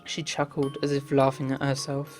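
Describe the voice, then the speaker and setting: Guy reading a visual novel out loud, earnest n theatrical, normal pitch, soft music behind. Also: monotone, normal speed. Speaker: game playthrough host reading aloud. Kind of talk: dramatic read-aloud of visual novel text. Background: game music.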